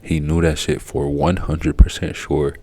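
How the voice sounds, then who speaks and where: A man's voice: rumbling low, flat delivery, measured pace, dark tone. A man whose first language is Haitian Creole, giving casual, profane, rambling commentary. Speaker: vlogger.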